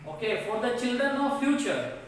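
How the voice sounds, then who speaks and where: A woman's voice: a little high, very animated. Woman, Indian English accent, teacher, repeats and paraphrases, distant from the mic, faint room noise. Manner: slow, repetitive, reads a line then paraphrases it. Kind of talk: explaining a textbook lesson to students. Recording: echoey room from far off.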